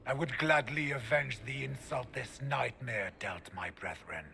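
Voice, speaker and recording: Gravelly, earnest warrior. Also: male voice, medium pitch, a little slow, bright, forceful tone, slight background noise, full character voice. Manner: formal, earnest. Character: stern, honourable male warrior of a sworn order. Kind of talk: video game dialogue.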